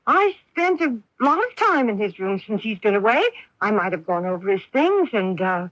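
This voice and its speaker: A woman's voice: mid-range voice, sing-song, moderate pace, a bit bright, dry recording. An actress playing a doting, anxious and defensive middle-aged mother in a radio drama, with a fretful, coddling way of talking. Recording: dull lo-fi radio recording.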